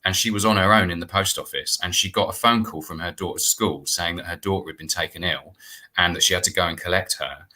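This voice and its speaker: A man's voice: low-pitched, quick pace, sharp tone. A middle-aged British man explaining things earnestly and conversationally as a guest on a video call. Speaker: guest, theatre producer. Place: indoor room, video call.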